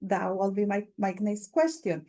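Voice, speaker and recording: A woman with a Latin American Spanish accent, a native Spanish speaker, hosting a webinar with spontaneous, halting remarks as she searches for words. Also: mid-range voice, expressive, mellow. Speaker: webinar host.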